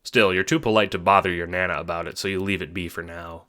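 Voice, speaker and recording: A man's voice: sits low, steady tone, fast talker, sharp tone. A man narrating a scripted story in the second person, in the style of an audiobook.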